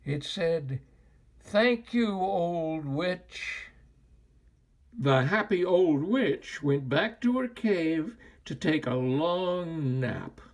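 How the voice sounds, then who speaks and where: An older man narrates a children's read-aloud in a theatrical storyteller style. His husky voice moves slowly and deliberately, with big expressive swings in pitch.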